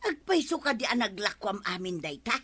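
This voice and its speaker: An actress playing an exasperated farmer's wife in an Ilocano radio comedy drama, with an Ilocano accent. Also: female voice, medium pitch, very animated, moderate pace, a bit bright, breathy edge, dry recording. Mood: suspicious, scolding.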